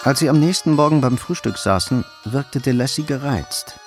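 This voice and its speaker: A man's voice: mid-range voice, animated, normal speed. Male crime drama narrator over music.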